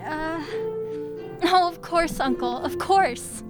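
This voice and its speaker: Very high-pitched young wife played by a woman. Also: slow, music in the background. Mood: astonished. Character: astonished young wife at Christmas dinner. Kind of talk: old-time radio play acting.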